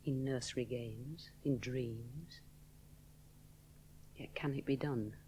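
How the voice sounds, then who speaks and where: A woman's voice: rumbling low, slow pace, dark tone, a little hiss or hum. An actress playing a young woman aviator alone over the sea, musing inwardly, often dropping to a whisper. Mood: brooding. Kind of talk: 1970s radio drama inner monologue.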